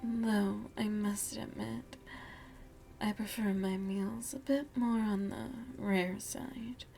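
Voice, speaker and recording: A woman playing a seductive, aristocratic vampire lady in an ASMR roleplay, speaking intimately and formally with a breathy, often whispering voice and teasing, veiled menace. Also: medium pitch, fairly even intonation, slow, mellow, slight background noise.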